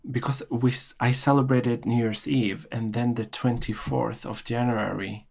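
A man's voice: mid-range voice, fairly even intonation, normal speed, gravelly, darkish tone. A man telling a personal story in an interview, hesitant and full of restarts. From Norway. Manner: hesitant, many restarts, emotional reminiscing.

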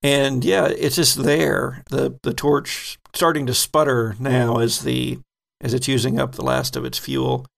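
Gravelly guy game mastering a sci fi horror rpg, lots of ums, takes his time describing stuff. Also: mid-range voice, level tone. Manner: descriptive, unhurried with frequent ums. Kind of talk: narrating a tabletop horror game.

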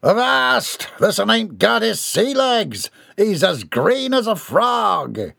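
A man voicing rowdy, crude male pirate deckhands who jeer in rough sailor dialect, slow and high-pitched. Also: sing-song, bright, forceful tone, dry recording, studio quality, heavily acted.

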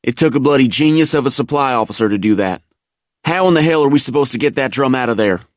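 A commanding male Marine sergeant out of a war memoir audiobook, put on by a male narrator. He talks in blunt, salty, exasperated bursts, higher in pitch. The recording is tight and dry. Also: lively intonation, normal speed, a bit bright, dry recording. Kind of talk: audiobook character dialogue.

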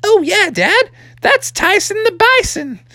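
A nervous little frog boy from a storybook performed by a woman. He sounds high and swoopy, pleading with wide-eyed worry, the sensible one of the family. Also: very animated, a little slow, sharp tone, no room sound, studio quality, exaggerated voice. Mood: innocent, eager.

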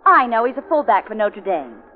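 High, eager radio secretary. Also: female voice, big pitch swings, brisk. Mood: curious, excited. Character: eager, curious young female secretary. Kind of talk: 1940s radio acting. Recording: tinny, noisy 1940s radio recording.

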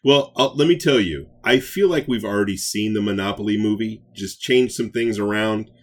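A man's voice: lowish voice, moderate pace. A man co-hosting a podcast in casual chat, slangy and enthusiastic. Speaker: podcast co-host. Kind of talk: casual chat, riffing on movie ideas.

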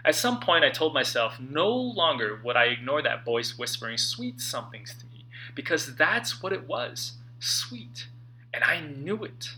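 A man in his late thirties reading his own motivational book aloud in an earnest, conversational way with dramatic pauses. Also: on the high side, sing-song, pushed and bright, a little hiss or hum, low-quality recording. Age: late 30s. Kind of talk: personal audiobook narration, motivational.

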